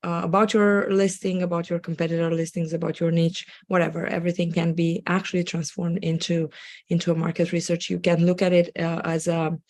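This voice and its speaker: A woman from Romania with a Romanian accent, giving a live webinar presentation over a video call while fighting a cold, her voice slightly squeaky and full of ums and restarts. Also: little pitch movement, brisk, darkish tone, dry recording. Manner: hesitant, many ums and restarts. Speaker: guest expert presenter.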